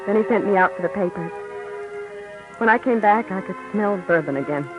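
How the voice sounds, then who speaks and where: A woman's voice: medium pitch, fairly quick, phone-quality sound, music in the background, lightly acted. A woman playing a weary, tearful young wife of a heavy drinker, in an old radio drama with bitter, sarcastic lines and pleading. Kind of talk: 1940s radio acting. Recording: faint noise on an old radio recording.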